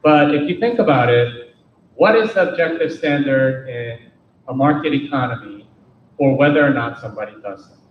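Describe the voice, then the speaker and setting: A man's voice: medium pitch, expressive, brisk. Guy answering q&a at a meetup, pretty blunt, mic's far off, faint hiss. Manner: frank, emphatic, rhetorical questions to the audience. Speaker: speaker answering audience questions. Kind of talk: meetup Q&A talk. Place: meeting room. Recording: roomy, distant, dull lo-fi sound with faint noise.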